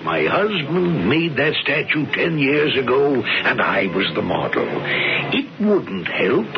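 A genial, philosophical storyteller, speaks slowly while his pitch climbs and falls widely. The muffled sound of a vintage radio drama hangs around him. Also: very animated, creaky, over music, exaggerated voice. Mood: musing. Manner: measured, philosophical. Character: genial older male author, wry storyteller. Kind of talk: 1970s radio drama acting.

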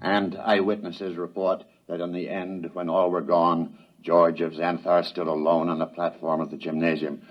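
An actor playing a solemn radio news announcer in a vintage drama, husky, formal and measured. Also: male voice, low voice, little pitch movement, soft background music. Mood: grave. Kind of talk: mid-century American radio newscast acting. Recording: muffled vintage radio recording.

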